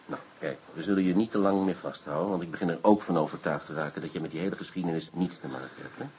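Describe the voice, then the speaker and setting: A man playing an excitable, bossy police inspector in a radio drama, blustering and commanding. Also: low voice, fast talker, slight background noise, natural voice, rough recording. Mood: firm, businesslike. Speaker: actor in a radio play. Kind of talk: Dutch radio drama acting.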